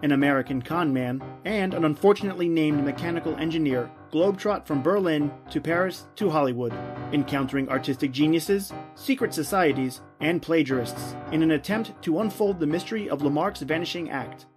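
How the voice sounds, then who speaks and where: A male announcer reads scripted promotional narration. His voice sits a little high for a man. Plucked guitar music plays clearly behind him. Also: fairly quick.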